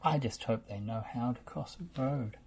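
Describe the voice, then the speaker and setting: A man's voice: medium pitch, normal speed, darkish tone, husky. A man voicing a curious, earnest talking farm vehicle with a pleading manner in a children's storybook. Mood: concerned. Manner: pleading, wondering.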